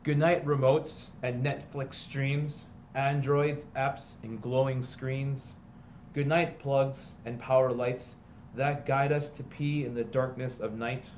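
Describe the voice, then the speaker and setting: A man with a mid-range voice reads a children's book aloud in a teacherly way. His delivery moves between emphatic, sing-song verse and plain conversational asides. Clear background noise sits behind his voice. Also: mid-range voice, level tone, takes it slowly, darkish tone. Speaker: teacher reading aloud to students.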